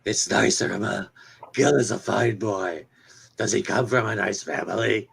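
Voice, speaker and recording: A woman's voice: extremely low pitch, measured pace, gravelly, husky, heavily acted. A woman portraying a proud, doting middle-aged mother in an amateur comic reading, genteel and chatty in her delivery. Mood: gentle approval. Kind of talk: amateur dramatic reading of a 1950s romance comic.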